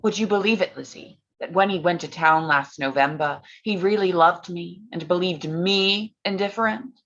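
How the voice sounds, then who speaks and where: Dull, lo-fi sound carries an actress playing an earnest young gentlewoman in a staged period reading. The voice is lowish, gentle and sincere. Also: normal speed. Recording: dull lo-fi call audio.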